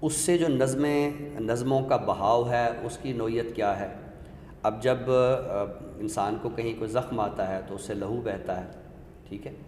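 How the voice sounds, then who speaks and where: A man speaks as a literary expert, setting out ideas in a deliberate, didactic way and restating them for clarity. His voice sits at a middling pitch. The recording is echoey, like a hall, with faint noise behind him. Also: a little mellow. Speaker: literary expert guest on a discussion show. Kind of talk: explanatory literary talk.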